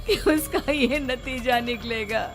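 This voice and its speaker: A woman playing an emotional, pleading mother full of guilt in an animated melodrama. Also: on the high side, slow. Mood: defensive. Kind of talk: melodramatic animated story acting. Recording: faint music behind the voice.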